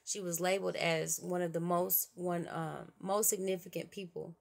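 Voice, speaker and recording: A woman in her thirties, tired at the end of the night, casually reading history notes to her phone camera in a small room, with halting fillers and restarts. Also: fairly low pitch, steady tone, vocal fry. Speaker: vlogger. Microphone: phone camera at arm's length.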